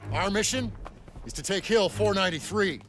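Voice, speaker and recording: An actor playing a commanding senior army officer giving a rousing, oratorical briefing to troops in a war game. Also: male voice, sits high, expressive, moderate pace, fairly bright tone, a little hiss or hum. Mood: proud, solemn. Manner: declamatory, oratorical. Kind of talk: rousing military briefing speech.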